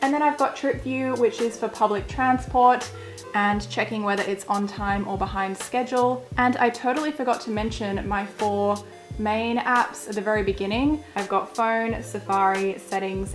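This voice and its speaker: A young woman, chatting casually through a vlog in a croaky voice that is wearing thin, with plenty of filler words. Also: medium pitch. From Australia. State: croaky, losing her voice. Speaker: vlogger. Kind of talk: casual walkthrough.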